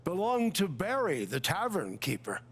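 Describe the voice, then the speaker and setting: An actor playing a wry gnome in a fantasy game, with a dry, theatrical drawl. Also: male voice, high-pitched, huge pitch swings, normal speed, husky, full character voice. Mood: deadpan. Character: wry, deadpan fantasy gnome survivor in a tavern. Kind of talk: comic fantasy game acting.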